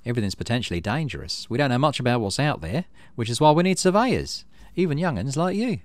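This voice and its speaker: A man's voice: medium pitch, very animated, fast talker, dry recording, pitched above the performer's own voice, close to an everyday voice. A man voicing a breezy, protective woman chief surveyor in a visual novel, with folksy informal talk. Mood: breezy, cautioning. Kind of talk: acted visual novel dialogue.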